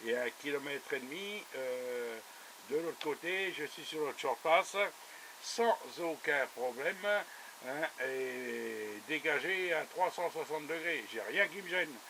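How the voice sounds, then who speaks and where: A man's voice: on the high side, expressive, slow pace, bright, forceful tone. A man talking casually as a radio operator over a two-way radio, chatty and full of little verbal tags. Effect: two-way radio transmission. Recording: noisy buzzing radio transmission.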